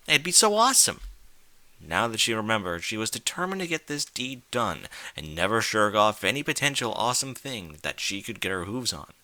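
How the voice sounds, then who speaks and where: A man's voice: on the low side, pushed and bright, dry recording. A man narrating a scripted story in a dramatic, theatrical and emphatic manner. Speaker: narrator, reader of fan fiction.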